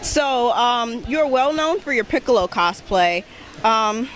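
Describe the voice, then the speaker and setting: A woman's voice: a little high, expressive, slow, fairly bright tone, slight background noise, low-quality recording. A woman interviewing casually, reading out prepared questions in an informal conversational way.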